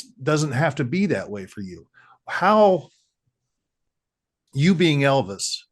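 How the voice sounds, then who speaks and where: Interviewer guy, upbeat and encouraging, average pitch, casual talk. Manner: enthusiastic, encouraging. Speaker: interviewer host.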